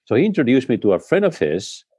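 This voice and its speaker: A middle-aged man from Spain, whose first language is Spanish, speaking in a relaxed interview and telling his story thoughtfully, often restarting his sentences. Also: mid-range voice, quick pace, breathy edge, dry recording, studio quality. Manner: reflective storytelling with frequent restarts. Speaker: head of school, guest. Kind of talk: conversational interview.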